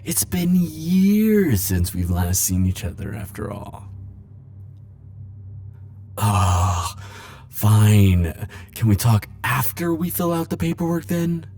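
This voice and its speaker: Man playing low, slow, flirty immortal charmer. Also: sing-song, darkish tone, a little hiss or hum, exaggerated voice. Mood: coy, playful, then casual, mildly exasperated. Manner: coy, theatrical, drawn-out teasing phrasing. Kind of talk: ASMR roleplay audio acting.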